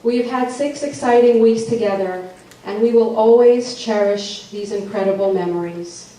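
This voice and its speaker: A woman's voice: medium pitch, moderate pace, dark tone. Woman mc reading a welcome speech, super echoey, far from the mic. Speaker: event host, camp director. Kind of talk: prepared welcome speech at a ceremony. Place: large echoey hall with an audience. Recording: very echoey hall, distant mic, audience, faint noise.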